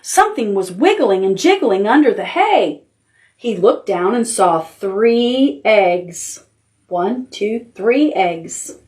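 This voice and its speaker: A woman's voice: mid-range voice, animated, takes it slowly, darkish tone. A woman hosting a toddler storytime as a children's librarian, reading aloud expressively in simple child-directed language with little asides.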